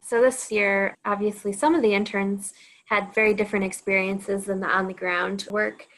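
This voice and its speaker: A woman's voice: medium pitch, steady tone, normal speed, a bit bright, low-quality recording. A woman explaining something in a matter-of-fact way. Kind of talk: explanatory interview comment.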